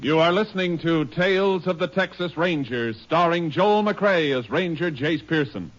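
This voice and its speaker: A man announcing a radio drama in a measured, formal style. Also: fairly high pitch, lively intonation, dull audio. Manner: measured, declarative. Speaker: show announcer. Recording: old radio broadcast over music.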